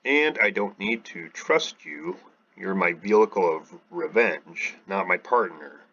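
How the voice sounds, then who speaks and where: Man reading a vengeful rogue ex-pirate from a game, forceful and blunt, lo-fi audio. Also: on the low side, very animated, measured pace, creaky, heavily acted. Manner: reads dialogue aloud in a plain voice. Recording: dull lo-fi audio with faint music.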